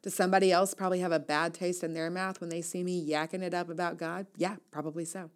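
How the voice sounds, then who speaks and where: A woman's voice: lowish voice, little pitch movement, quick pace. A woman in her thirties reading a first-person essay aloud as host, candid and reflective, with wry asides. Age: 30s. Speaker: newsletter writer and host reading an audio essay.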